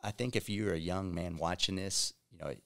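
Low gravelly man, emphatic. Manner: emphatic, repeats himself, fast bursts. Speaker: podcast host. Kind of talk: casual conversation. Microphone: boom mic on stand.

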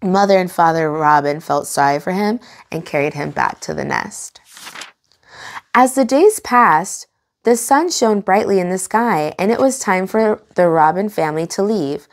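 Young female storytime host, expressive and engaging, reading picture books aloud for kids. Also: on the low side, unhurried. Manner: expressive, engaging, enunciated for children. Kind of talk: animated read-aloud for children.

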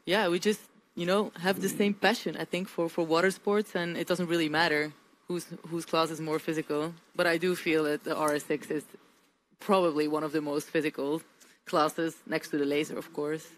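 A woman's voice: low voice, level tone, moderate pace, rough recording. A woman sailor answering an interview question hesitantly, with many fillers and hedges.

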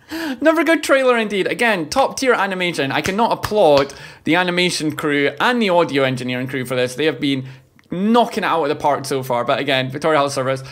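A man in his thirties doing casual, excitable live reaction commentary as a video host, with playful exclamations. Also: high voice. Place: small home streaming room. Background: loud game trailer music.

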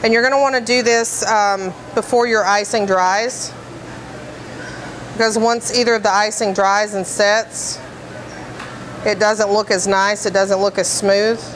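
A woman's voice: medium pitch, fairly even intonation, a little slow, fairly bright tone, slight background noise, rough recording. A woman bakery trainer explaining decorating steps in plain, practical terms, with frequent fillers. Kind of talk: instructional demonstration. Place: commercial kitchen, indoors.

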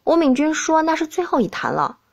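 A woman reading a novel as an expressive audiobook narrator. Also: sits high, fairly quick, creaky, highs cut off.

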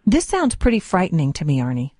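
A woman's voice: lowish voice, huge pitch swings, fast talker, dark tone, no echo, clean studio sound. A woman with an American accent hosting a radio talk show in a conversational way. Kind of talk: conversational radio interview, reading aloud at times. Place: radio studio.

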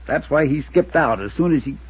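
Anxious husky 1950s radio townsman. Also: a little high, animated, fairly quick. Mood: insistent. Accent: American. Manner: earnest, pleading, hesitant under pressure. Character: anxious, insistent small-town American insurance agent, male. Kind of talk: 1950s radio drama acting. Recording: dull lo-fi 1950s radio recording.

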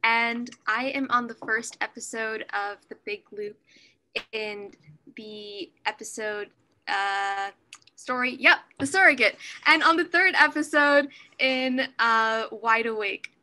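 A young woman introduces herself casually as a student. Her voice is fairly high and very bright. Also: slow pace. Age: young adult. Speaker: theater student. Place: indoor room, video call.

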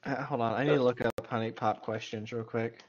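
A man's voice: medium pitch, fairly even intonation, brisk, highs cut off. A man in a casual joking chat, a wisecracking co-host who swears freely.

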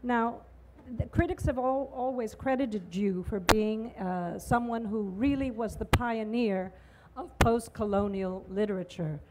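A woman's voice: mid-range voice, very noisy. A middle-aged woman moderating an onstage interview, asking long enthusiastic questions and stumbling over her words with "uh" and restarts, into a handheld mic. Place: large outdoor festival stage pavilion.

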